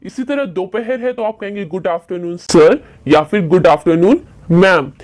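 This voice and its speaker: A woman teaching spoken English, patiently explaining phrases in Hindi and repeating each one slowly. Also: on the low side, darkish tone.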